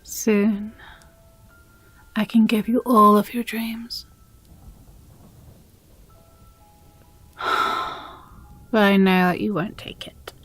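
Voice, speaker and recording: A woman playing a possessive, jealous demon girlfriend in an intimate audio roleplay, breathy and often whispering, with condescending sweetness. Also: mid-range voice, steady tone, a bit bright, faint music behind. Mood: weary, coaxing. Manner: slow, deliberate, sighing, condescendingly sweet.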